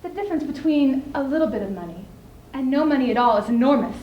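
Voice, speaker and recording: High-pitched theatrical widow, played by a young woman. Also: animated, lo-fi sound. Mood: earnest, emphatic. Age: young adult. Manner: emphatic, theatrical, with deliberate pauses. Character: spirited, scheming widow, feisty and reflective. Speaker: student speech team performer. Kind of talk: theatrical dramatic monologue on stage. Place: indoor school showcase stage. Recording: echoey room, clearly audible background noise.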